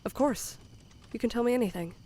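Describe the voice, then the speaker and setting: A woman's voice: on the high side, a little fast, mellow, husky. A woman playing a dry, guarded young camp counselor in an audio drama. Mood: gentle.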